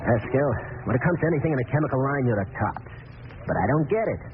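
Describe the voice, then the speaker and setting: An actor playing an edgy, impatient, slangy crook in an old radio drama. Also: male voice, medium pitch, lively intonation, fast talker, slight background noise, close to an everyday voice. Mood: impatient, curious. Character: jittery, impatient male gangster henchman. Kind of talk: 1940s radio acting. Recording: tinny vintage radio recording.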